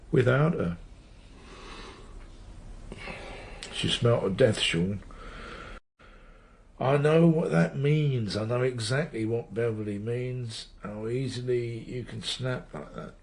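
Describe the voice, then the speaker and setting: An older man, an actor playing a weary, brooding veteran police detective with a dry, measured, probing manner in a radio drama. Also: medium pitch, creaky, a little mellow, slight background noise. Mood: grave, bitter. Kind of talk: naturalistic radio drama acting.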